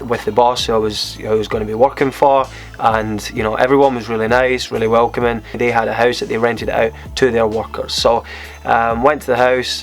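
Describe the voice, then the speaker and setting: A young Scottish man vlogging, telling a personal story to camera in a candid, rambling way. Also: medium pitch. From Scotland. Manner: rambling, candid, many fillers and restarts.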